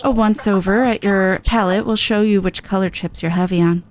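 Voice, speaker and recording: A woman voicing a cool, laconic young guide from a video game, in a deadpan, measured manner. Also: mid-range voice, voice pushed down, natural voice. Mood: calm, explaining. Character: cool, low-voiced laconic young guide, calm and dry. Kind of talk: character reading of video game dialogue.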